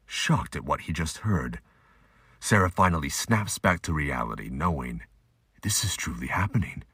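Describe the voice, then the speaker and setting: A man's voice: a bass voice, animated, normal speed, fairly bright tone, breathy edge, no room sound, studio quality. A man giving scripted, audiobook-style narration for an audio drama.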